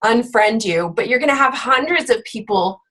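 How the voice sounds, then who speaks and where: A woman hosting a book club, a bit hoarse from being sick, chatting casually with lots of fillers. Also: a little high, lively intonation, moderate pace, fairly bright tone. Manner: chatty, with frequent fillers and laughs. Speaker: fitness coach and book club host.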